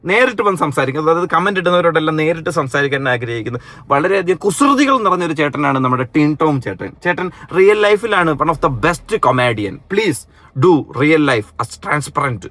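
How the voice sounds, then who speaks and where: Bright high-pitched Kerala man, sarcastic commentator. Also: sing-song, moderate pace. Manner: mocking, sarcastic, reads comments aloud theatrically. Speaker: online commentary host. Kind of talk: casual reaction commentary.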